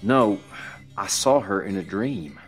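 A man's voice: lowish voice, lively intonation, takes it slowly, soft background music. A man voicing a blunt, uneasy trucker who speaks up sharply and tensely. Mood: troubled.

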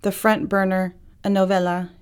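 A woman's voice: mid-range voice, level tone, normal speed, clean tone. A woman poet reading her own poem aloud. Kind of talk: poetry reading.

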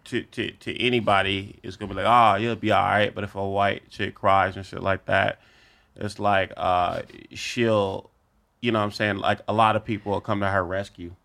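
A man's voice: on the low side, level tone, moderate pace, gravelly, a bit bright. A young adult man, a comedian guest, chatting casually in a laid-back, slangy, rambling way.

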